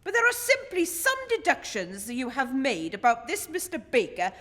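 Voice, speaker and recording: A woman acts an earnest detective's companion in a radio play. The voice is high, articulate and lively in its swoops, with hall-like reverb around it. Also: moderate pace, full character voice. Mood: eager, sceptical. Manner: expressive, articulate storytelling. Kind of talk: radio play acting and scripted narration.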